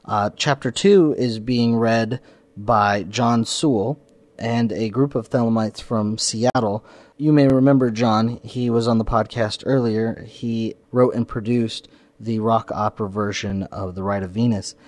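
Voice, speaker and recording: A man's voice: fairly low pitch, steady tone, a little slow, darkish tone, no echo. A man hosting a podcast, giving spoken introductions and announcements. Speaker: podcast host.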